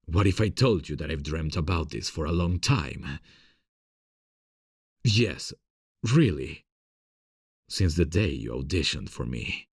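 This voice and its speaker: Male actor as passionate theatre director, gentle, husky and gravelly, hesitant, intimate ASMR roleplay. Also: very deep voice, expressive, slow pace, pauses often, dry recording, studio quality, full character voice. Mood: tender confession. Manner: tender, hesitant, confiding.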